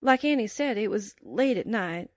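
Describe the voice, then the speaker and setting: A woman's voice: mid-range voice, expressive, moderate pace, a little mellow, no echo, studio quality, close to an everyday voice. A woman playing a flighty, wisecracking newspaperwoman's sidekick in 1940s radio drama style, breezy and candid. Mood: sheepish, breezy.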